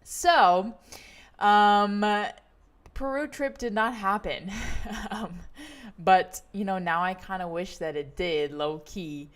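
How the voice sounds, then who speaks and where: A woman's voice: medium pitch, slow. A young woman from the United States hosting a travel livestream, rambling casually with lots of fillers and small laughs. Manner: rambling, many fillers and laughs, relaxed storytelling. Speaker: livestream travel vlogger host. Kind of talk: casual chatty livestream monologue.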